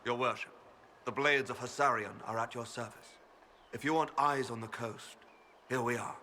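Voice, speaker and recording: Guy acting as a loyal sellsword in a game, mid pitch, sharp forceful voice, respectful and plain-spoken. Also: steady tone, moderate pace, slight background noise, close to an everyday voice. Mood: respectful, pledging. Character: loyal male mercenary fighter, respectful yet plain-spoken. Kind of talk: video game dialogue.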